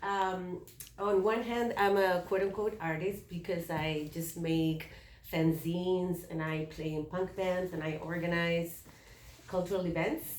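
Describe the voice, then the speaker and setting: A woman from Chile speaking at a panel discussion, thoughtful and halting with many fillers and restarts. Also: on the low side, fairly even intonation. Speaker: panelist, activist and educator. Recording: echoey room.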